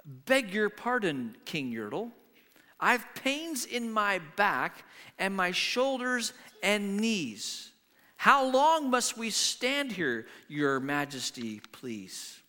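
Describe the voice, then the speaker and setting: A man's voice: sits high, big pitch swings, slow, sharp tone, full character voice. A man voicing a meek, polite little turtle who groans and pleads, in a storybook reading with long hall reverb. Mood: meek, pleading.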